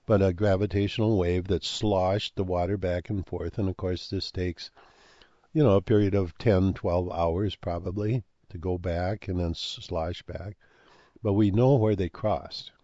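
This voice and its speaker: Deep, dark-voiced older man, hoarse. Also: no echo, lo-fi sound. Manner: halting, many fillers and restarts. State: losing his voice. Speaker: speaker on ancient history and comets. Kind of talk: rambling monologue.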